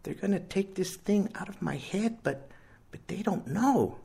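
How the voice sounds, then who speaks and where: A man's voice: sits high, sing-song, moderate pace, voice pushed up. Man voicing a frail, whispery teenage boy. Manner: soft, near-whispered. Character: sick teenage boy, soft and frightened.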